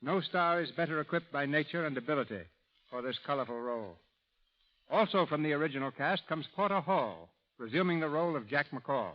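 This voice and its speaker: A man narrating a 1930s radio program as its announcer in a formal, theatrical scripted style. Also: on the high side. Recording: muffled vintage 1930s radio broadcast. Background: music.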